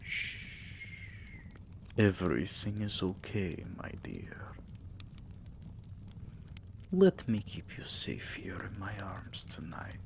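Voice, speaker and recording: A man playing a tender, possessive vampire king in an intimate ASMR roleplay, murmuring soothingly and affectionately in a breathy, gravelly half-whisper. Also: low-pitched, slow, pauses often, full character voice.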